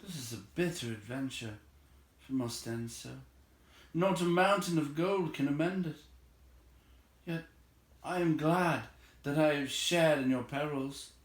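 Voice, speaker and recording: Man doing an earnest little hobbit, pitched up high. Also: a little slow, reverberant, lightly acted. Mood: sorrowful. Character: small, kindly male hobbit, hurt and humble.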